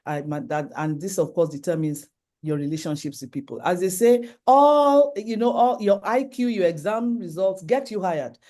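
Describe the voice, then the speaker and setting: A middle-aged conference speaker with a Nigerian accent, voice mellow and dark in tone. Talks earnestly through lists of points, restarting sentences now and then, pitched in the middle range. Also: lively intonation, normal speed. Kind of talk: presentation with slides.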